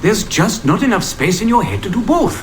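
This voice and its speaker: A man's voice: high voice, sing-song, a little fast. A man playing a cautious, level-headed lab researcher in naturalistic audio drama, with a wry, cautionary way of talking. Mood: firm caution. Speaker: research colleague. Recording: audible background ambient noise.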